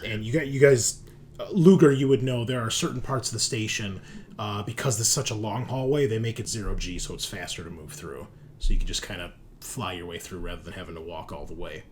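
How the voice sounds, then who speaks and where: A man's voice: fairly low pitch, fairly quick, a little mellow, a little hiss or hum. A man casually narrating and explaining a tabletop game as game master, in an informal way.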